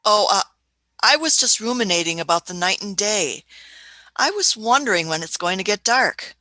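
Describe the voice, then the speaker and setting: A woman's voice: mid-range voice, animated, normal speed, pushed and bright. A woman voicing an elderly female time traveler in a fan radio play, shrewd and fussy, often hesitating with "uh" and "hmm".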